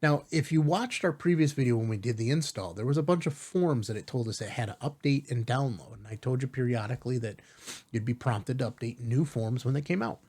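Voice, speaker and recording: A man hosting a casual step-by-step software tutorial, explaining as he goes and often checking in with the listener. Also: medium pitch, animated, a little fast, breathy edge. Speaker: tutorial host.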